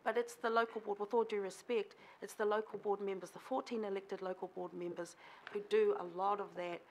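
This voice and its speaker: Gravelly earnest woman addressing a council. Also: mid-range voice, fairly even intonation, fairly quick, darkish tone, a little hiss or hum. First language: Samoan. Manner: earnest, emphatic, repeats key points. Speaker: local board member. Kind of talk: formal presentation to a council. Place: council chamber.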